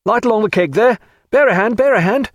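A man voicing an eager young naval officer in an audiobook, brisk and earnest as he gives orders and reports. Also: on the high side, very animated, slightly rough, no echo, highs cut off, pitched above the performer's own voice. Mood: urgent. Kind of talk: audiobook character voice.

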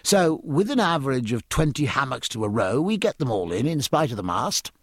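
A man's voice: mid-range voice, very animated, brisk, husky, dry recording, clean studio sound, voice pushed up. A man voicing an earnest, eager young midshipman in an audiobook, polite and keen to explain nautical matters. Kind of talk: audiobook character acting.

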